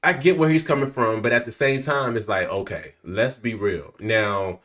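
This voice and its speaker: A man's voice: fairly low pitch, normal speed, a bit bright. A man co-hosting a podcast in casual chat, easygoing and agreeable, getting over a head cold. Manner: easygoing, agreeable, fills with um and you know. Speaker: podcast co-host.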